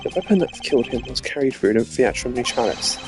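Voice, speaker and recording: A man voicing a composed, analytical prosecutor in a video game, speaking in a deliberate, formal manner. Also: medium pitch, animated, noisy background, lightly acted. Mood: serious, reasoning. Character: composed, analytical male prosecutor investigating a murder. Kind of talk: voiced video game dialogue read live. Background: game music.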